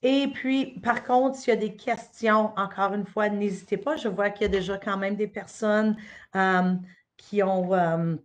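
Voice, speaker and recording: A woman's voice: medium pitch, fairly even intonation, measured pace. A middle-aged woman from Northern Ontario, giving an unscripted live presentation as an education officer, with frequent fillers and restarts. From Northern Ontario, Canada. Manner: hesitant, many "euh" fillers and restarts. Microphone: webcam or computer mic on a live stream.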